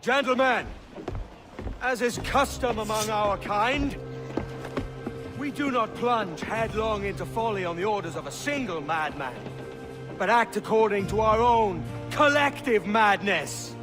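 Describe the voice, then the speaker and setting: Brash pirate captain for a game, lighter-pitched, sardonic and theatrical. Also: male voice, lively intonation, unhurried, over music. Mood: rousing. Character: roguish, brash young male pirate captain. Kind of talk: dramatic video game acting.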